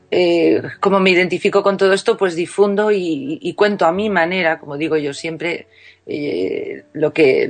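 Chatty woman singer, radio guest. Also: lowish voice. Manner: warm, chatty, many restarts and fillers. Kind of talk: casual radio interview.

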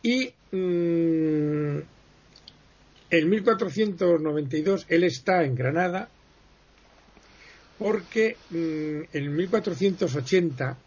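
Guy rambling thru some history on the radio, gravelly husky voice, keeps stopping to fix himself, muffled lo-fi sound. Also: on the high side. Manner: digressive, explanatory, many pauses and self-corrections. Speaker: history guest speaker. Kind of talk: conversational radio interview.

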